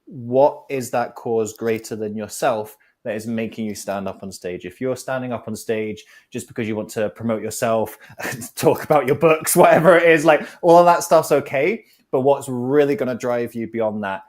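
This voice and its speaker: A man who talks his ideas through in an even, mid-pitched voice. He is a guest on a talk show, reflective and fond of working analogies step by step. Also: normal speed. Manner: reflective, builds points with analogies. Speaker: guest speaker. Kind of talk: conversational advice on a talk show.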